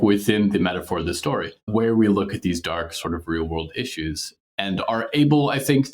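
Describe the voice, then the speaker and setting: Deep-voiced man in thoughtful interview. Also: a little mellow. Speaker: podcast creator and writer, interview guest. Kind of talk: reflective interview talk.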